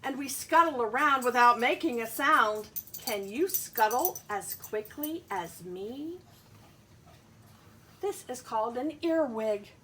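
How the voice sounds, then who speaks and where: Bright-voiced, slow-paced woman teaching children. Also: on the high side, lively intonation, a little hiss or hum. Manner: simple explanations and questions aimed at young children. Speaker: schoolteacher reading aloud to pupils. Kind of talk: picture-book read-aloud with asides to children.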